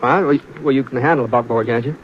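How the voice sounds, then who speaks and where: A man plays an easygoing frontier rancher who stumbles over his words, with a mid-pitched voice. It is a 1950s radio western. The broadcast is muffled and carries a steady hiss. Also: natural voice. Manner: hesitant, halting with many uh's and restarts. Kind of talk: 1950s radio drama acting.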